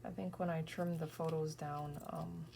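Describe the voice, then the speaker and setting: A woman in her thirties, tired from an early morning, softly thinking aloud and often whispering as she works on a scrapbook page. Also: sits low, flat delivery, normal speed, gravelly, a little hiss or hum. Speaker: scrapbooking crafter. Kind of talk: casual crafting vlog narration.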